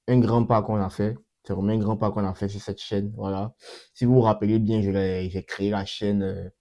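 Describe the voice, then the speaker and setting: A young man hosting his channel and talking through a coding demo in a casual way, with a cold that makes his voice a bit off. He repeats himself often and fills pauses with hesitations. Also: lowish voice, mellow, lo-fi sound. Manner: repeats himself, many fillers and restarts. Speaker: channel host. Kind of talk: casual screen-recorded coding walkthrough.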